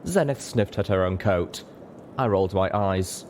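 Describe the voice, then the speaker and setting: A man's voice: low voice, measured pace, darkish tone, a little hiss or hum. A man reading a story aloud as scripted dramatic narration. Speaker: audiobook narrator.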